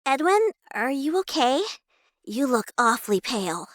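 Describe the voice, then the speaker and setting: An actress plays a concerned young woman in a gothic visual novel. Her voice is high and very lively in pitch. She sounds caring, in a dry recording. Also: measured pace, dry recording, exaggerated voice.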